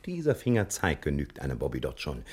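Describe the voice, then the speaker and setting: Smug, wildly animated private detective. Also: male voice, extremely low pitch, fairly quick, full character voice. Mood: self-important, insistent. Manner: smug, theatrical, condescending. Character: self-important, wily American private detective, a man. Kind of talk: 1960 German radio crime drama acting.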